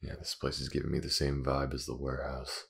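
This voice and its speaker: A man's voice: very deep voice, monotone, fairly quick, creaky, fairly bright tone, husky, exaggerated voice. A man playing a blunt, skeptical, watchful detective in an audio roleplay drama. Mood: wary.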